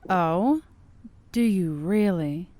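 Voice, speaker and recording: A woman acting in an audio drama, playing a calm, soothing young woman with a wry streak. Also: fairly low pitch, very slow, slight background noise. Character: calm, teasing young woman, terminally ill.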